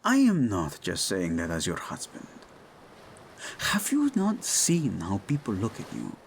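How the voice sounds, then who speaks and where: A man's voice: mid-range voice, a little hiss or hum. An actor playing a devoted, teasing king and husband in an intimate romantic audio roleplay, speaking tender endearments. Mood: earnest, soothing. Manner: tender, playful, unhurried endearments.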